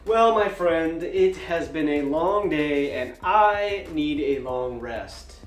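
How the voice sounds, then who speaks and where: Male vlogger, 40s, casual storyteller, highly varied pitch, theatrical emphasis, roomy echoing recording. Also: lively intonation, takes it slowly, faint music behind. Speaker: tabletop gaming vlogger. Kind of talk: casual personal storytelling to camera.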